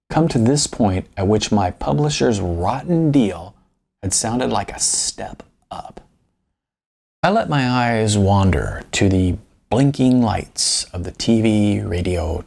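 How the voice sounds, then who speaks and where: A man reading his own novella aloud in an unhurried, reflective first-person narration, with small restarts now and then. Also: lowish voice, gravelly, a little mellow.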